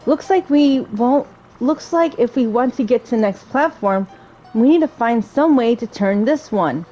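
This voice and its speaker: A woman's voice: fairly high pitch, lively intonation, measured pace, warm tone, soft background music, low-quality recording. A woman giving casual gameplay commentary, musing aloud as she goes. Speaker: game streamer.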